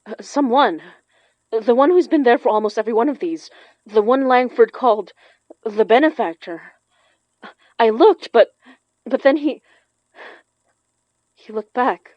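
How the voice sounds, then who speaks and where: An earnest young woman in a horror audio drama, halting and urgent. Her voice is fairly high, recorded very dry. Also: no room sound, highs cut off. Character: shaken, earnest young woman who summons spirits.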